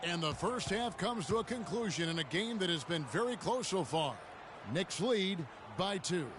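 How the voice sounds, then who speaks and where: A man's voice: high-pitched, animated, moderate pace, noisy background. An American man calling a basketball game as play-by-play commentator, in a video game broadcast. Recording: loud music and game sounds behind. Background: music, bouncing basketball, arena sounds.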